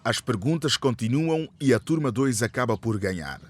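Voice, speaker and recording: A man's voice: medium pitch, expressive, moderate pace. A man narrating a scripted radio drama. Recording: faint background noise.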